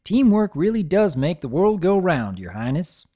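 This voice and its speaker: A man's voice: sits high, very animated, moderate pace, dark tone, voice pushed up. A man voicing an honest, hardworking country pony mare with a Southern drawl and folksy, earnest manner.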